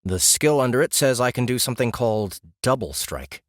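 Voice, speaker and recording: A man's voice: mid-range voice, lively intonation, fairly quick, dry recording, clean studio sound, natural voice. A man voicing an uncertain, ordinary man stranded in a game world, speaking hesitantly in an audiobook. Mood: tentative. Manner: hesitant, tentative. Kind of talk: audiobook character acting.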